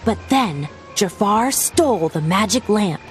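A woman reading a children's storybook aloud as narrator, with expressive scripted storytelling delivery. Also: mid-range voice, a little slow. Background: background music.

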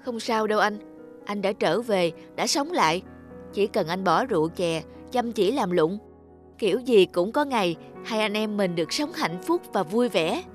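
A woman's voice: medium pitch, expressive, normal speed, soft background music, lightly acted. A woman voicing a devoted, forgiving younger sibling in a children's cartoon, earnest and caring. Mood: reassuring.

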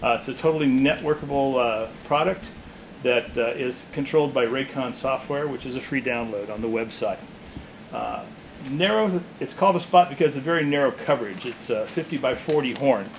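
A man's voice: medium pitch, normal speed, muffled recording, a little hiss or hum. A man gives an informal, matter-of-fact product demonstration as a distributor. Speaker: loudspeaker distributor presenting products. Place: indoor demo room with small stage.

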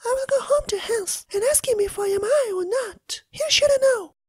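A reader voicing a silly, anxious young woman from a fairy tale in a very high, breathy, tearful whisper. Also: normal speed, no room sound. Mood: tearful fretting. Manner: wailing, tearful. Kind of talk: storybook reading.

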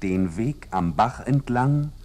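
A man narrating a scripted fairy tale as storyteller, in a measured and expressive manner. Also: mid-range voice, noisy background.

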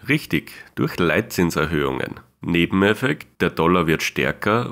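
Low-voiced man with a cold. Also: fairly bright tone. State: hoarse from a cold. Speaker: trading educator. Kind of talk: scripted explainer to camera. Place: small indoor room.

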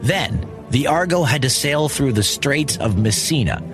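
Man, lively kids' storyteller, wry, conversational, dramatic emphasis, swooping intonation, faint music. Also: medium pitch, measured pace. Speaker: host and storyteller. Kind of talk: lively scripted storytelling for children. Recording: music behind the voice.

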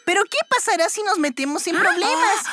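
An actor voicing a sweet, innocent, excitable little boy in a dubbed children's show, exclamatory in manner. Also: very high-pitched, brisk, very noisy. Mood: excited. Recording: loud music behind.

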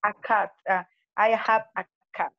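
A Spanish-speaking woman learning English, answering haltingly in an online class. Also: medium pitch, fairly even intonation, dull audio. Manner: hesitant, halting beginner English. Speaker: student.

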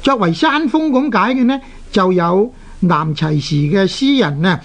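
A radio language teacher of unclear sex with a Hong Kong Cantonese accent, lecturing deliberately in short phrases with drawn-out particles. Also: mid-range voice, animated. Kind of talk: explanatory radio lecture on Chinese characters.

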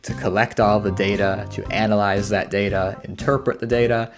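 A man speaking as a scientist guest, explaining research step by step in a measured way. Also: fairly low pitch, level tone. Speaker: dog cognition scientist, guest. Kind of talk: explanatory interview talk. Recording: guitar music behind the voice.

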